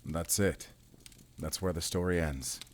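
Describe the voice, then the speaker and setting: A man playing a grim, determined werewolf hunter in an audio drama, measured, brooding and terse. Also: deep voice. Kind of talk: cinematic audio drama acting.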